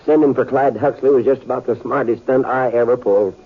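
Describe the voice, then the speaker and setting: An actor playing a folksy scheming frontier doctor. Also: male voice, medium pitch, steady tone, quick pace, husky, lightly acted. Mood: sly. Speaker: town doctor. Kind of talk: 1950s radio drama acting. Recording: tinny phone-like old radio recording.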